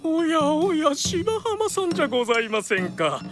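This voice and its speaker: A man's voice: extremely high pitch, very animated, over music, full character voice. A man playing a polite, slyly amused antique dealer in a radio drama, with unctuous courtesy. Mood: courteous. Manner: courteous, unctuous, measured merchant speech.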